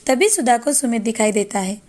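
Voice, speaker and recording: A woman's voice: on the high side, very animated, brisk, darkish tone. A woman storyteller reading scripted moral-story narration.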